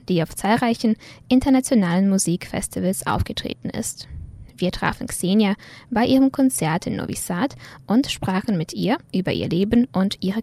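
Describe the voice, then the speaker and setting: A woman presenting a news and culture programme in a measured, formal scripted read. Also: mid-range voice, a little mellow, dry recording.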